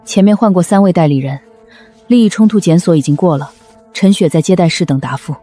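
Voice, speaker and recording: Woman acting efficient young woman, fast delivery, mellow tone, matter-of-fact, animated drama. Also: lowish voice, faint music behind, lightly acted. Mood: brisk, alert.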